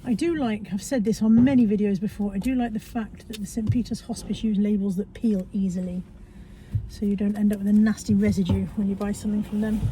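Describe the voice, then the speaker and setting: A woman's voice: mid-range voice, steady tone, a little fast, dark tone, slight background noise, rough recording. A British woman chatting casually in a vlog from her car, sounding congested as she comes down with a cold, tagging questions onto her remarks. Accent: British English. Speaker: vlogger.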